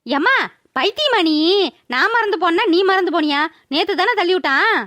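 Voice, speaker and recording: Woman as a very high-pitched petulant village daughter. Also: expressive, normal speed, pushed and bright, dry recording, heavily acted. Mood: outraged. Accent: rural Tamil Nadu. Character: young village daughter, vain, petulant, accusing. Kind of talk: cartoon village drama voice-over.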